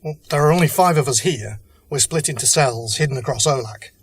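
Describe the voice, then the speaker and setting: Serious rebel leader guy, man acting, mid pitch, earnest and principled, audio drama. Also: fairly quick, breathy edge, natural voice. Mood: grave, earnest. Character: grave, principled resistance cell leader, desperate but humane.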